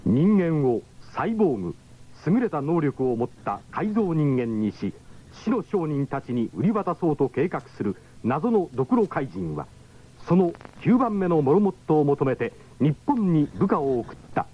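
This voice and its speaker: A man's voice: on the high side, big pitch swings, normal speed. A man narrating a 1970s Japanese radio drama in a dramatic scripted style. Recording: muffled 1970s radio recording, faint noise.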